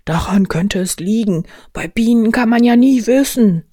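Casting: woman as a male toy bear for a storybook, high-voiced, naive, ponderous and earnest, close dry sound. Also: moderate pace, mellow, dry recording, close to an everyday voice. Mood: conspiratorial. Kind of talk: storybook character voice.

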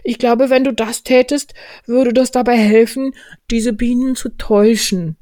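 A woman voicing a naive, earnest male toy bear from a storybook, speaking in a ponderous, deliberate manner. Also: fairly high pitch, steady tone, dark tone, no room sound, studio quality, pitched above the performer's own voice, lightly acted. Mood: earnest, scheming. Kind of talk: storybook character voice.

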